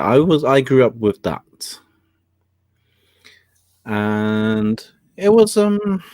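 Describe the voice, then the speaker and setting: A man from England, whose was Cantonese. He hosts a casual talk show and speaks in a halting, reflective way, full of fillers. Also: fairly low pitch, a little mellow, dry recording, studio quality. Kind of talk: casual conversation.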